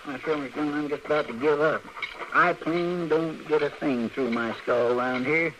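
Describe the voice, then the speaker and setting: An actor with a rural western American drawl playing an earnest, fretful frontier sidekick in an old radio western, folksy and plainspoken. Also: male voice, on the high side, normal speed, natural voice. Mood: weary, gloomy. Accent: rural American West drawl. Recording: faintly noisy vintage radio recording.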